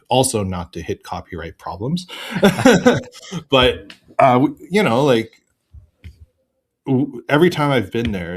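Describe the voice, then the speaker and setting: A man with a slightly low voice talks as a guest on a podcast. He tells his stories in an animated, conversational way and slips in joking asides. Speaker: podcast guest, improv voice performer. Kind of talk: casual chat.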